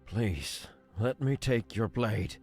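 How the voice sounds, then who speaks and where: A man voicing a weary, remorseful creature who was once a soldier, pleading gravely in a visual novel. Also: on the low side, lively intonation, slow pace, dark tone, faint music behind.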